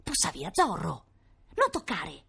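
A woman voicing an excitable, bossy schoolboy, a know-it-all older brother, in a storybook reading. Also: deep voice, a little slow, gravelly, slightly rough, heavily acted. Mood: alarmed.

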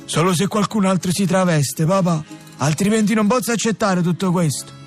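An actor playing a naive, whiny young son of a crime family in a comic radio drama. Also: male voice, high voice, normal speed, soft background music, lightly acted.

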